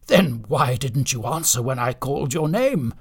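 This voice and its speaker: A man's voice: mid-range voice, lively intonation, normal speed, slightly rough. A man voicing a mild, patient Father Christmas in a storybook, polite and placating in manner. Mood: concerned.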